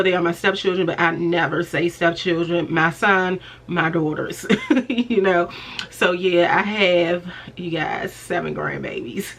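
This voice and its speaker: A woman in her forties from the Washington area, talking to camera in a relaxed, chatty way with lots of fillers and laughs, her voice slightly raspy and stuffy after an illness. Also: on the low side. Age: 40s. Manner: chatty, affectionate, many fillers, laughs often. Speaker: fashion vlogger. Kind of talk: casual talk to camera.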